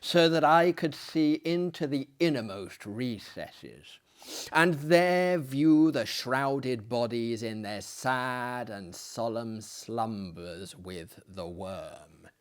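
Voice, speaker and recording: Slow, theatrical male storyteller. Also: mid-range voice, lively intonation, smooth. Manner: hammy, florid, self-deprecating. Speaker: performer giving dramatic readings. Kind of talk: theatrical storytelling and dramatic reading.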